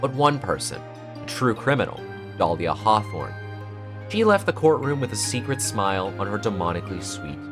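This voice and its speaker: Lively man narrating a video essay. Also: lowish voice. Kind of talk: scripted analytical narration.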